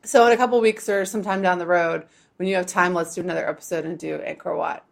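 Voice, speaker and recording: A woman hosting a travel history podcast, talking casually and quickly with frequent laughs. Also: fairly low pitch, steady tone, vocal fry. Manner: chatty, quick, laughs between phrases. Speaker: podcast host.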